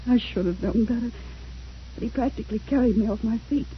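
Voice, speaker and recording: A woman's voice: fairly high pitch, brisk, close to an everyday voice. A woman playing a weary, remorseful middle-aged former wife in an old-time radio drama, hesitant and pleading. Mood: weary regret. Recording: dull, faintly noisy old radio recording.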